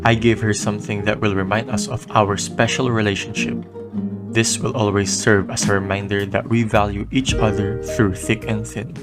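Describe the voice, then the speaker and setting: A man with a fairly low voice reads a script as a radio DJ in an amateur radio drama. Loud acoustic guitar music plays behind him. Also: little pitch movement, moderate pace. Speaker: radio DJ and letter reader. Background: acoustic guitar instrumental.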